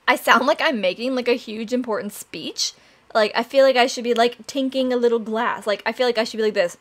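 A young woman hosting a Minecraft let's play, chatting excitedly to viewers while her voice is raspy from allergies and she keeps clearing her throat. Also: fairly high pitch, moderate pace, a little hiss or hum. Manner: chatty, excitable, addresses "you guys" often. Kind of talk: casual gameplay commentary.

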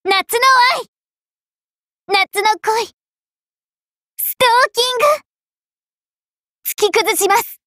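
Very high, lovestruck anime maiden. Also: female voice, fairly even intonation, slow, pauses often, a bit bright, no echo, clean studio sound, full character voice. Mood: fervent, lovestruck. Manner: very polite, coy, gushing devotion. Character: lovestruck, clingy young maiden spearwoman, polite but obsessive. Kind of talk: anime mobile game character voice lines.

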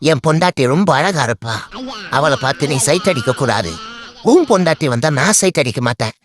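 Man doing a grumbling, animated cartoon animal. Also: a little high, brisk, a crowd in the background. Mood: scheming. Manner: colloquial, mock-dramatic. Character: cheeky, grumbling, boastful male talking animal. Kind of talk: comic dubbing of funny animal clips. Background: music bed.

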